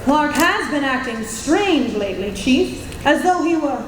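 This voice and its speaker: A woman playing an inquisitive, sharp-tongued newspaper reporter in an old-time radio drama, insistent and theatrical. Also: high voice, animated, measured pace. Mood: intrigued. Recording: echoey hall, roomy, faint noise.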